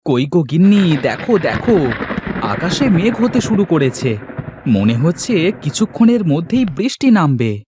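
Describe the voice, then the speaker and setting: Cartoon farmer, male actor: patient, generous villager, earnest delivery, highish pitch, lively swings. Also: a little slow, background noise. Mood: reassuring, eager. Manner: earnest, expressive cartoon dialogue.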